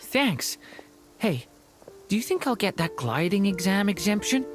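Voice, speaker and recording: Curious young game boy, very lively intonation, earnest, excitable, music behind. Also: medium pitch, measured pace. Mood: eager, curious. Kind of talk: scripted video game voice acting.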